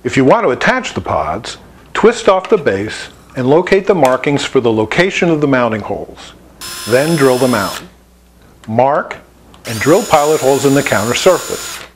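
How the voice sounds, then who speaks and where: A man with a medium-pitched voice narrates a scripted tutorial. His intonation moves up and down in a lively way as he lays out plain, step-by-step instructions in the role of an instructor. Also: normal speed, slight background noise. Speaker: instructor presenting an installation tutorial.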